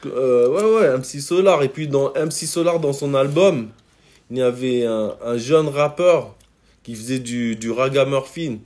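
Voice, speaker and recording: A man's voice: medium pitch, a little slow, a little mellow. A man from Congo, a rapper guest, talking in a laid-back, slangy way and often saying "you see". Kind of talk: casual interview chat.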